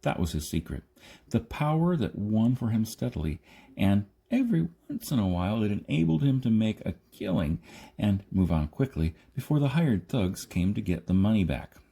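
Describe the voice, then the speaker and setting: A man narrates a science fiction story in a low, husky voice. His intonation moves widely up and down as he reads. The delivery is a little halting, like an amateur audiobook reader working through the text. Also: big pitch swings, mellow. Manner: halting, stumbles and rereads phrases.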